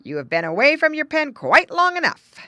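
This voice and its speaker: A woman's voice: sits high, big pitch swings, brisk, no echo, pitched above the performer's own voice, heavily acted. A woman voicing a friendly, obedient, eager farm dog in a children's storybook. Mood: eager, firm. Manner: barks in loud bow-wows, speaks politely.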